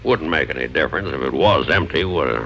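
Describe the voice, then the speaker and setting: A man's voice: extremely low pitch, expressive, fast, sharp tone, lo-fi sound, exaggerated voice. An actor playing a big, terse, stubborn cattleman, in a 1950s radio drama. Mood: dry humor. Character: big, blunt, wealthy Oklahoma cattleman in his fifties. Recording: noisy old radio broadcast recording.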